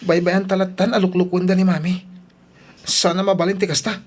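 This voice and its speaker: A man's voice: sits high, little pitch movement, brisk. A man with an Ilocano accent playing a troubled, dutiful young eldest son in a radio drama.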